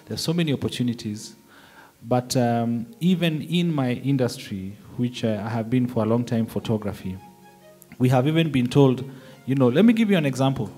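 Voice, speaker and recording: A man's voice: mid-range voice, moderate pace, warm tone, slightly rough, soft background music. Male moderator, handheld mic, chatty and loose. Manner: conversational, fillers, asks the audience for responses. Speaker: panel moderator. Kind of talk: moderating a panel discussion. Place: large hall.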